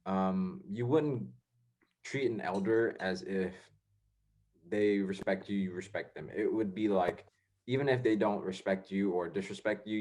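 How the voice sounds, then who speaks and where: A man's voice: sits low, darkish tone. A young man hosting a casual podcast, rambling with many fillers and restarts. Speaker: podcast host.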